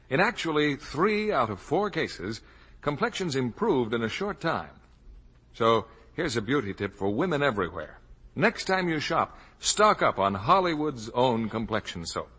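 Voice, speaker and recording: Forceful, husky-edged male radio pitchman. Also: very animated, a little slow. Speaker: commercial announcer. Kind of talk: scripted 1950s radio advertising pitch.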